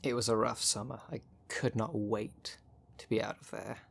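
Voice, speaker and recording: Gravelly, sardonic city witch acted by a man. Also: fairly low pitch, little pitch movement, normal speed, slight background noise. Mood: sardonic reminiscing. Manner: dry, sarcastic, sweary, sighing. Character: jaded, sardonic city witch, woman of thirty-two, potion brewer. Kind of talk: ASMR roleplay, conversational monologue.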